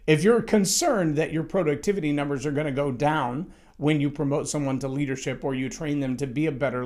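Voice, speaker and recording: A man with a loud, somewhat high-pitched voice holds forth on leadership in a solo talk. He speaks emphatically and conversationally, doubling back on words as he thinks. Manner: conversational, emphatic, with frequent restarts.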